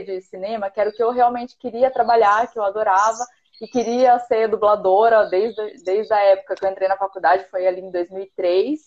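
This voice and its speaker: A woman in her thirties with a São Paulo countryside accent talks on a video call from a small room at home. Her voice sits a little high, and the webcam audio sounds dull and lo-fi. She is chatty and hesitant, often restarting her sentences. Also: darkish tone. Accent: São Paulo countryside (caipira). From interior of São Paulo state, Brazil. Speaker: guest, voice-acting student. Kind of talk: casual video-call chat. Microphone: laptop webcam on a video call.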